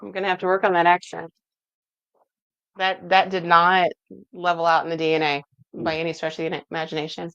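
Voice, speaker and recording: A woman's voice: lowish voice, little pitch movement, brisk, long pauses, fairly bright tone, clear, resonant tone, no echo, muffled recording. A woman hosting a cooking show in a home kitchen, explaining steps in a folksy, chatty way as she works. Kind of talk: casual cooking demonstration.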